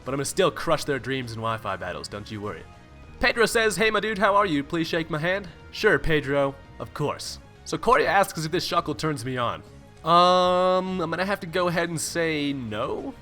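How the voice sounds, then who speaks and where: Young male YouTuber, bright tone, laid-back and jokey, swears casually, light music bed. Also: on the high side, expressive, moderate pace. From California. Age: young adult. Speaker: YouTuber answering viewer questions. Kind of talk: casual Q&A voice-over. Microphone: home recording mic, no webcam.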